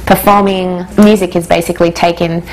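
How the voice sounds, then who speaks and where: Soft-spoken woman singer. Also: lowish voice, flat delivery, fairly quick, poor audio. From Australia. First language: Hungarian. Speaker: singer-songwriter. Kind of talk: reflective interview monologue.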